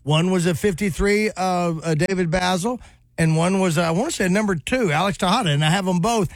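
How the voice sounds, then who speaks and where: A man's voice: high-pitched, brisk, no echo. A man in his sixties co-hosting a casual sports talk show, telling rambling nostalgic stories with many restarts. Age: 60s. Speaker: sports radio co-host.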